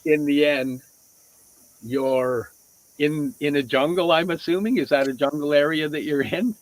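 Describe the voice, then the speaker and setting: A man's voice: a little high, measured pace, low-quality recording. A man hosting a casual interview over a video call, asking curious questions in a loose manner that includes casual swearing. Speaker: host.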